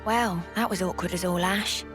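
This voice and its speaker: A woman's voice: lowish voice, fairly even intonation, normal speed, over music, lightly acted. A woman playing a trusting, outspoken young fighter in a game, with a blunt, informal manner. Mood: frank.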